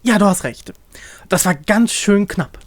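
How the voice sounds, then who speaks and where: A silly, carefree young vampire, as a male narrator puts him on, lifted well above a deep everyday register. He chatters in exclamations and whiny self-justification, boastful but easily spooked, like a figure from a comic audio story. The sound is dry and close. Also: expressive, a little slow, dry recording. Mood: relieved, chatty.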